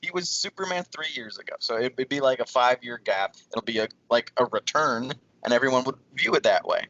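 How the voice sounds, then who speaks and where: A man of medium pitch debates casually as a podcast co-host. He pushes back and argues his point in loose, conversational phrases. The recording is slightly dull and lo-fi. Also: brisk, sharp tone. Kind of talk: casual movie debate among friends.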